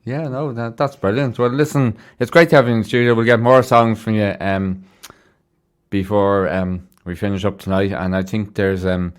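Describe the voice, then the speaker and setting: A man's voice: lowish voice, fairly even intonation, normal speed. A man presenting a live music radio show, chatting casually from a studio. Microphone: studio microphone.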